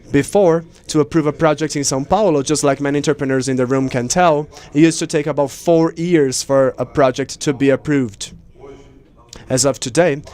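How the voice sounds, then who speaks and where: A man interpreting conference speeches live, in measured phrases with pauses mid-sentence. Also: on the high side, a little mellow, clear, resonant tone. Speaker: simultaneous interpreter.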